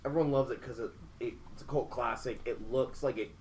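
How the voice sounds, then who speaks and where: A man on a group podcast, chatting casually in a halting way with many restarts. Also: medium pitch, steady tone, a little mellow, slight background noise. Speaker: podcast co-host.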